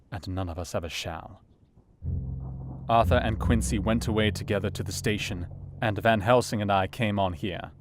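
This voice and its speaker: Guy as victorian doctor doing his diary, audio drama, lowish voice, sombre n thoughtful, guitar music behind. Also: close to an everyday voice.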